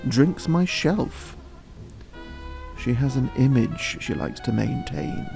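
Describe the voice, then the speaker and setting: A man acting a middle-aged father in an audio drama, smooth in manner, provocative and quietly needling. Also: mid-range voice, lively intonation, slow pace, music in the background. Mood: wry, confiding.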